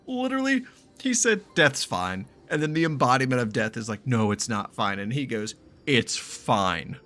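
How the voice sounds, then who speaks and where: A man giving casual, excitable, sweary reaction commentary while playing a game. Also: medium pitch, normal speed.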